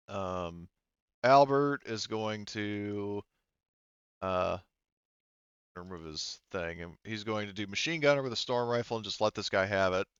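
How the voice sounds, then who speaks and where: A man working as game master, narrating a tabletop roleplaying game casually, thinking aloud haltingly and swearing freely. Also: fairly low pitch, a bit bright, clear, resonant tone, no room sound, dull audio.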